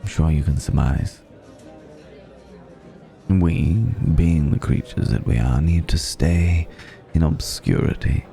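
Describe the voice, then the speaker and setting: A man playing a suave, courteous vampire in an intimate ASMR roleplay, speaking in a refined, formal, gently amused way with lingering pauses. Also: a bass voice, steady tone, vocal fry, soft background music, full character voice. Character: suave, courteous male vampire dining with a mortal guest.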